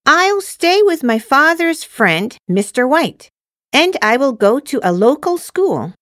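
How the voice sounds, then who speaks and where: A woman plays a cheerful, eager girl student in a scripted English lesson dialogue. Her voice is high and she speaks slowly, with careful textbook enunciation. The sound is dry and close. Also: sing-song, a bit bright, no echo, full character voice.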